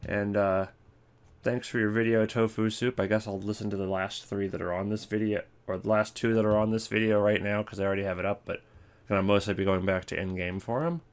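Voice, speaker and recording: A man's voice: fairly low pitch, fairly even intonation, a little fast, clear, resonant tone. A man hosting a video with casual, rambling, offhand gaming commentary. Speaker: video host.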